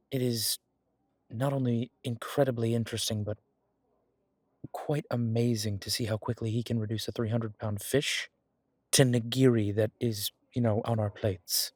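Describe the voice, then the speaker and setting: Guy playing a smitten mafia boss in an audio roleplay, raspy lowish voice, teasing but sincere, really dry close mic. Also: a little mellow, no echo, natural voice. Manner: teasing, earnest, conversational with hesitations. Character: charming smitten male mafia boss on a first date. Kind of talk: intimate audio roleplay acting.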